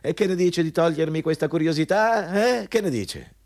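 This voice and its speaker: A man's voice: on the high side, normal speed, darkish tone, close to an everyday voice. An actor playing a pompous, overbearing male company boss in a radio drama, hectoring and self-important. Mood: pompous, needling. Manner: pompous, hectoring, switching between scolding and ingratiating. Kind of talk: Italian radio drama acting.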